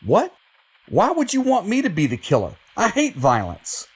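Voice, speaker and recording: A man's voice: high voice, huge pitch swings, normal speed, husky, full character voice. An actor playing a tormented, desperate man forced to kill, pleading and anguished in a horror audio drama. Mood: defiant, alarmed.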